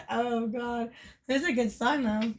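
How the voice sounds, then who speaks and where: A young adult woman streaming a game, chatting casually with a slightly hoarse voice, laughing often and rambling with lots of fillers. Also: on the high side, expressive, slow pace. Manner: giggly, rambling, filler-heavy. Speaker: livestreamer. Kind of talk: casual chat while gaming.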